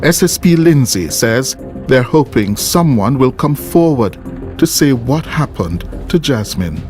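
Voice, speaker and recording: A man's voice: mid-range voice, animated, unhurried, darkish tone. Jamaican man narrating a news report over music. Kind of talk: scripted documentary narration. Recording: music bed behind the voice. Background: background music.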